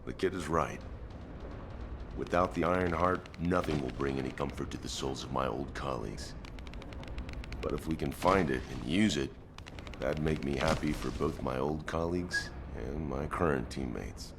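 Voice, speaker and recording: An actor playing a stern, proud, battle-worn soldier in a video game, blunt and bent on revenge. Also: male voice, lively intonation, normal speed, background noise. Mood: subdued, sincere. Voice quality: deep, weighty. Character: gruff battle-worn male soldier, vengeful, proud, loyal. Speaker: actor voicing a game character. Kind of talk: scripted game dialogue.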